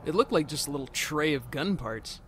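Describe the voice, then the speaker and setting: Higher-voiced man, wry and lively. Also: brisk, slight background noise. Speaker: co-commentator on a let's play. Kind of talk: casual chat reacting to gameplay.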